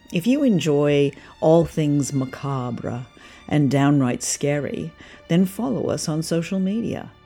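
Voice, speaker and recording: Casting: older female host, relaxed mellow tone, casual chat, faint music behind. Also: low voice, husky. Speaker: podcast host. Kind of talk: casual chat about a radio drama.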